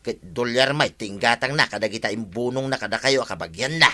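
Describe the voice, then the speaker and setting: A man acts a hearty village husband with an Ilocano accent. His mid-pitched voice is bright and forceful, with an emphatic, conversational delivery suited to radio comedy drama. He comes across as sociable and pleading. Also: a little fast, natural voice. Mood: explaining, insistent.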